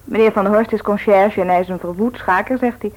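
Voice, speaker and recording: A cheeky young sister played by an actress in a radio play. She has a medium-pitched voice and talks in a chatty, lively, breezy way, eager to help. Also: quick pace, natural voice, poor audio. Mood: matter-of-fact.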